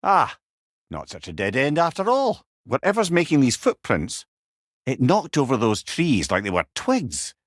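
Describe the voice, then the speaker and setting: Talking severed head, older Scottish man, witty game companion, wry, eloquent, highly varied intonation, dry studio sound. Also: wildly swooping pitch, measured pace, dry recording. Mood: watchful, musing. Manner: wry, eloquent, old-fashioned wording. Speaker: companion character in a video game. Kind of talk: video game character dialogue.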